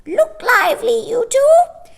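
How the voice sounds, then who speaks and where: Very shrill, bossy old lady. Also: lively intonation, takes it slowly, vocal fry, full character voice. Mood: urgent, bossy. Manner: urgent, commanding, exclaiming. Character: small, spry, bossy old woman hurrying others along.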